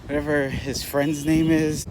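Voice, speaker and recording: A man giving a casual, unscripted movie review as a vlogger, in a halting, rambling way that trails off mid-thought. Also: fairly high pitch, flat delivery. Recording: loud outdoor urban traffic noise.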